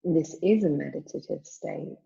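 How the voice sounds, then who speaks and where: Slow mellow woman doing guided meditation, calm n soothing, bit creaky, muffled lo-fi recording. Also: sits low. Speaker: yoga nidra meditation teacher. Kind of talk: guided meditation, spoken slowly with long pauses.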